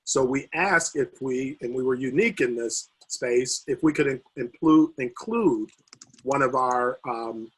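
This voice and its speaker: A man speaking on a video call panel, losing his voice and talking haltingly with many fillers and restarts. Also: mid-range voice, a bit bright, slightly rough, low-quality recording. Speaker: university recreation administrator.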